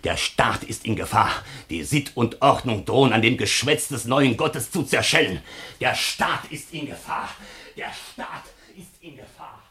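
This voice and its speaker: An actor playing an aggrieved, pompous scoundrel with a husky, declamatory voice in a classical comedy radio play. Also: male voice, mid-range voice, a little slow, bright, forceful tone, exaggerated voice. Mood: alarmed. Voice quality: husky, rough.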